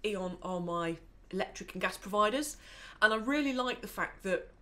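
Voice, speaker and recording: A woman's voice: mid-range voice, expressive, normal speed, sharp tone. Woman casually explaining apps. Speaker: co-host of an app review show. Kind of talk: casual explanatory tech review.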